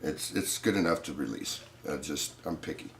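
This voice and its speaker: Raspy-voiced man in his forties. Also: extremely low pitch, normal speed. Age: 40s. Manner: loose and profane, laid-back. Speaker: musician guest. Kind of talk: casual interview chat.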